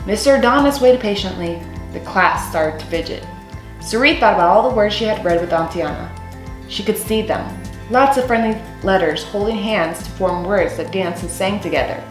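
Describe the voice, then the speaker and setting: A young woman reads a picture book aloud to children in a medium-pitched voice. Her phrasing is deliberate and expressive, in storyteller style. The room adds noticeable echo, and soft music plays underneath. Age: young adult. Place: small room indoors.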